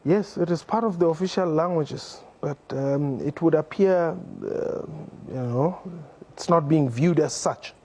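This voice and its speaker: A South African man hosting a call-in discussion show, brisk and probing. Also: a little high, a little mellow. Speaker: talk show host.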